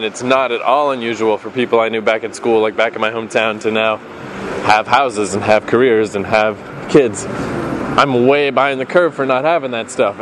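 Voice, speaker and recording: A young man in his late twenties talks to a handheld camera as he walks outdoors in the rain. His voice sits at an ordinary pitch, loose and rambling, with casual swearing. Traffic and street noise come through clearly behind him. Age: 20s. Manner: casual, musing, swears freely. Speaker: vlogger. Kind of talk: walking vlog, rambling reflection. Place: outdoors on rainy city streets. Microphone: handheld camera mic.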